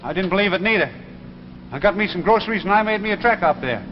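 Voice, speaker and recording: A man's voice: high voice, expressive, fast talker. An actor playing an insistent, haunted old backwoods man with a folksy rural American dialect in a 1950s sci-fi drama. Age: older. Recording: noisy, muffled 1950s television soundtrack.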